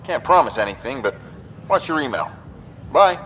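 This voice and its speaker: An actor playing an eager, smug activist hacker in a video game, chatty and emphatic. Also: male voice, mid-range voice, big pitch swings, normal speed, a bit bright, a little hiss or hum. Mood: upbeat. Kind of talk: video game dialogue acting.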